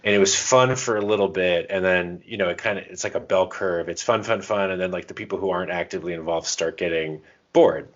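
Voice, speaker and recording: A man's voice: deep voice, fairly quick. A man co-hosting a podcast, chatting casually and informally as he shares advice and anecdotes. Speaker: podcast co-host. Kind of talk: casual chat giving gaming advice. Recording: dull, muffled lo-fi podcast audio.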